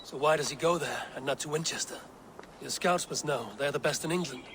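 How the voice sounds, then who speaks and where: A man playing a calm, strategic Viking leader. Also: fairly high pitch, fairly quick, background noise, lightly acted. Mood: measured. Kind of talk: video game voice acting. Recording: game audio with music behind.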